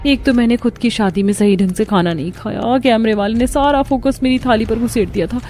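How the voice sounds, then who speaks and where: A woman's voice: fairly high pitch, fairly quick, warm tone, faint music behind, natural voice. A woman voicing a young, scheming daughter-in-law in a cartoon story, complaining in an exasperated, whiny way. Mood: whiny regret. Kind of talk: Hindi cartoon story acting.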